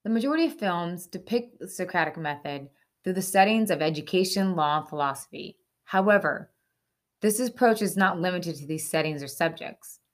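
A woman's voice: fairly low pitch, animated, moderate pace. A woman hosting a segment, reading scripted explainer narration over music. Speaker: host.

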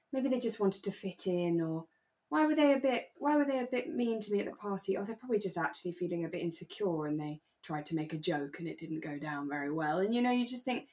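A woman's voice: lowish voice, darkish tone. A woman co-hosting a podcast, chatting casually and retelling things expressively, acting out what people said. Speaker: podcast co-host. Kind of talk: casual chat.

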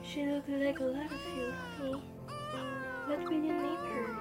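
High-voiced gentle mother played by a woman. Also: sing-song, measured pace, loud music behind the voice. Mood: tender. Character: affectionate young mother and wife, gentle. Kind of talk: amateur radio drama dialogue.

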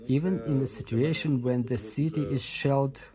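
Man, translated interview answers, measured delivery with restarts, mid-pitched, crowd chatter behind. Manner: measured, with restarts and repeated phrases.

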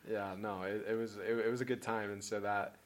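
A man's voice: on the low side, little pitch movement, a little fast. A young man from Peru talking casually as a podcast guest, laid-back and rambling with many fillers and restarts. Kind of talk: casual chat.

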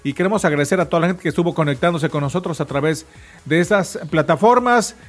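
Mexican guy hosting morning radio, voice a bit high, casual chat. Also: quick pace, faint music behind. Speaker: radio show host.

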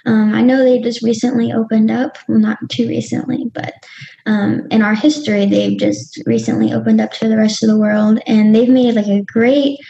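Young woman with a gravelly, dull-sounding voice. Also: on the high side, fairly even intonation, normal speed, dark tone. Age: young adult. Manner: hesitant, many ums and restarts. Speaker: guest. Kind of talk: casual interview talk.

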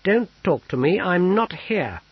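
A man voicing Bane, a brisk soldier who barks orders at guards, in a storybook reading. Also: high-pitched, big pitch swings, breathy edge, no echo, muffled recording, voice pushed up, exaggerated voice. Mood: commanding. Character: brisk commanding male soldier leader.

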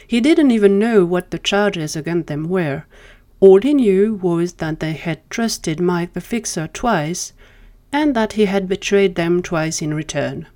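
A woman's voice: lowish voice, lively intonation, measured pace, mellow. A woman reading scripted fiction as a storytelling narrator. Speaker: narrator of fan fiction audio drama.

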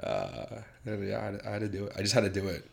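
A young man chatting casually as a podcast guest, relaxed and halting, with many fillers and restarts. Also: on the low side, creaky. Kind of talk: casual chat.

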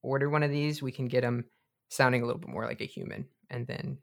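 Comedy podcast lead a man: excitable underground leader, ordinary mid voice, informal, halting, sweary. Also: medium pitch, creaky, close to an everyday voice. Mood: informal, upbeat. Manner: casual, hesitant, foul-mouthed. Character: excitable, sweary male bunker leader and tinkerer. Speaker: co-host. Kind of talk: comedic improvised radio drama.

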